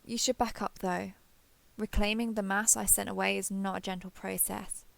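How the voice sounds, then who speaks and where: A woman's voice: medium pitch, little pitch movement, normal speed, gravelly. A woman voicing a proud, ancient female dragon in a fantasy roleplay audio, with formal, haughty, archaic phrasing. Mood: resolute.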